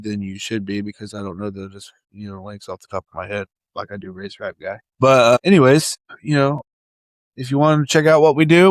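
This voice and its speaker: A man's voice: mid-range voice, dry recording. A man hosting a podcast with casual, unscripted talk. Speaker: podcast host.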